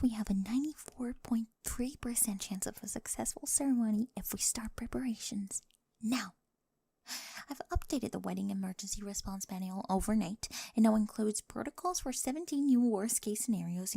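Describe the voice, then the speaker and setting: A woman voicing a quirky, obsessive young bride in a soft ASMR roleplay, precise and analytical, often whispering. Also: medium pitch, vocal fry, breathy edge, no echo. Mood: eager, hushed. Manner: precise, analytical, jargon-heavy speech. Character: quirky, obsessive, nerdy young bride devoted to her partner. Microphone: close, dry microphone.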